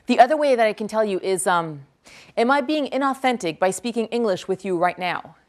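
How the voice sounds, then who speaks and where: Brief: native French speaker, woman, lively lecturer in a hall, repeats each question before answering. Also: medium pitch. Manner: energetic, quick to praise, repeats each question aloud.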